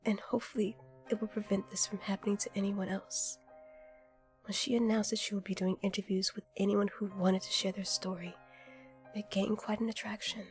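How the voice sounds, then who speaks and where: A woman's voice: mid-range voice, flat delivery, fairly quick, faint music behind, lightly acted. A woman playing a blunt, earnest and wounded heroine in an audio drama. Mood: grave, earnest. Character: blunt, intense, wounded heroine, protective of victims. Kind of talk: fan audio drama acting, dramatic monologue.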